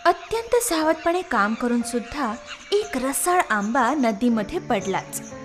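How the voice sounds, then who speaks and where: A woman's voice: high-pitched, sing-song, measured pace, over music. A woman narrating a scripted moral story for children.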